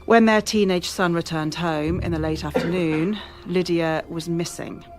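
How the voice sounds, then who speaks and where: An actress playing a brisk, authoritative police officer in a radio drama, speaking in a measured, official manner. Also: female voice, fairly low pitch, fairly even intonation, close to an everyday voice. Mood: brisk, formal. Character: brisk, authoritative female police officer leading a case briefing. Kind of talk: formal police briefing, radio drama acting.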